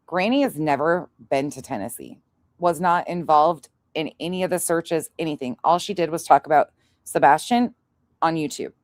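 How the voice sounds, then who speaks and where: Low-voiced, blunt, sweary woman. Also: normal speed, dry recording. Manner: blunt, profane, scornful. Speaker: livestream host commenting on played clips. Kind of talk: casual livestream commentary, chatting with live viewers.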